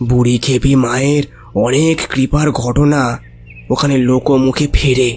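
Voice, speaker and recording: A man's voice: medium pitch, little pitch movement, measured pace, darkish tone, soft background music, natural voice. An actor playing a curious, respectful village man, speaking earnestly and deferentially in an audio horror story. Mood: earnest, reverent.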